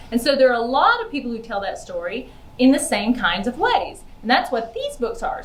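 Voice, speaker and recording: A woman giving a chatty children's library book talk, using simple words and putting questions to young listeners. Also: fairly high pitch. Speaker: library outreach puppeteer and presenter. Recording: roomy, echoey indoor sound.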